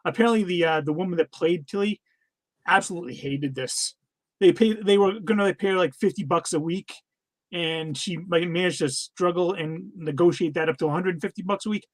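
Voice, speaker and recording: A high-pitched man co-hosting a casual podcast, his voice dry with no room sound around it. He passes along film trivia in a relaxed, hesitant way, leaning on uhs and ums. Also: fairly quick, dry recording. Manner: laid-back, sharing trivia with hesitant fillers. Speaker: podcast co-host. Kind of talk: casual film review chat.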